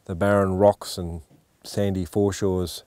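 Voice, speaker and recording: A man's voice: low-pitched, little pitch movement, measured pace, darkish tone, no room sound, clean studio sound. A man with an Australian accent presenting in a descriptive first-person narration.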